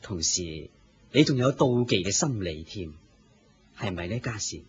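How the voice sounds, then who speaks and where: A man with a Hong Kong Cantonese accent playing a wise, authoritative spiritual teacher, measured and didactic, in a radio drama. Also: lowish voice, lively intonation, slightly rough, full character voice. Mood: gently reproving. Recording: muffled lo-fi radio drama recording.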